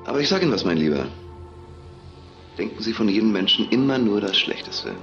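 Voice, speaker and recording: Arrogant, sardonic suspect for crime radio play; lowish, very animated delivery, slightly muffled over music. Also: male voice, brisk. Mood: cynical, provoking. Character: smug cynical man, self-absorbed amateur author and suspect. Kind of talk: German crime radio drama acting.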